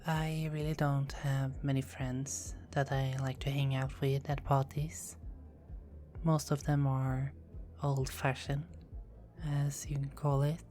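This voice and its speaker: A woman playing a low, whispering teasing vampire. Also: little pitch movement, slow pace, soft background music. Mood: wistful. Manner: soft-spoken, often whispering, playful teasing. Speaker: performer of own script. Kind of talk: ASMR roleplay.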